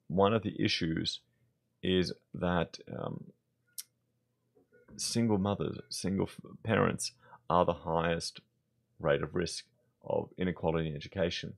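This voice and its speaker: Deep, gravelly Australian man. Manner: blunt, conversational, thinking aloud. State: hoarse. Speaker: vlogger. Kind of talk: opinionated commentary.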